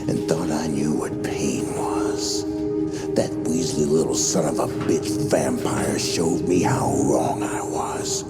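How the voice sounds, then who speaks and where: A man playing a bitter, menacing tough-guy specter in a video game, with a gravelly, breathy rasp and slow, sardonic, slangy delivery. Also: very deep voice, fairly even intonation. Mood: bitter, haunted. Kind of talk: dramatic video game voice acting. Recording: loud music behind the voice.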